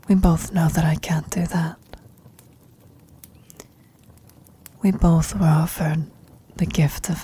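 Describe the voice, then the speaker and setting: A woman acting a frail, dying old adventurer in an intimate ASMR roleplay, speaking tenderly and haltingly in a breathy, husky whisper. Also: low-pitched, dark tone, exaggerated voice. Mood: gently firm. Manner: halting, tender, reflective, long pauses.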